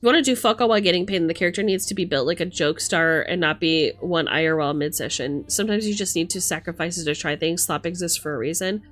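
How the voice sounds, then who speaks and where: A woman's voice: lowish voice, quick pace, few pauses, fairly bright tone, faint music behind. A woman hosting a livestream, talking casually and emphatically with plenty of swearing as she rants and chats with commenters. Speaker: livestream host.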